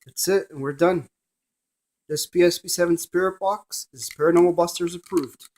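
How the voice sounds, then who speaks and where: A man's voice: high voice, fairly even intonation, moderate pace, a little mellow. A man presenting a casual hands-on gadget demonstration, narrating each step in short fragments.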